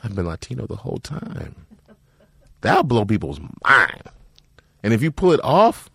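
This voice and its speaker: A man hosting a casual comedy podcast, animated and joking, swearing freely. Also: medium pitch, moderate pace, gravelly, slightly rough, dry recording, clean studio sound. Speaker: podcast host.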